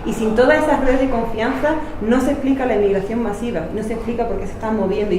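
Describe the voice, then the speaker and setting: A woman's voice: on the high side, darkish tone, distant mic. Spanish woman lecturing in an echoey room. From Spain. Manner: explanatory, many fillers and rhetorical tags. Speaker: history lecturer. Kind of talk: academic talk. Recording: echoey room, speaker off-mic, faint noise.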